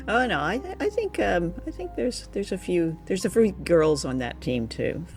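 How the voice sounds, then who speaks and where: A woman's voice: medium pitch, big pitch swings, takes it slowly, a little mellow. A woman co-hosting a radio show, chatting about old drama and often searching for her words. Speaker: radio show and podcast co-host.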